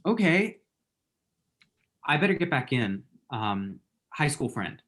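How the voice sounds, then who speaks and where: A man acts out a self-deprecating, smitten young man in a romantic comedy script. He speaks at an ordinary male pitch, awkward and halting, in a naturalistic table-read style. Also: very animated, a bit bright. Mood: flustered.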